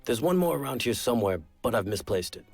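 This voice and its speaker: An actor playing a forgetful, flustered junk seller in a game, hesitant and stammering. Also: male voice, medium pitch, big pitch swings, quick pace, gravelly, darkish tone, dry recording, faint music behind. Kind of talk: video game character acting.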